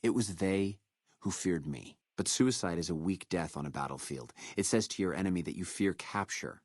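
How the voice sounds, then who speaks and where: An actor playing a proud, menacing captured military officer in a video game, with a measured, contemptuous delivery. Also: male voice, deep voice, a little mellow, no echo. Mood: defiant, contemptuous. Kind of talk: video game character dialogue.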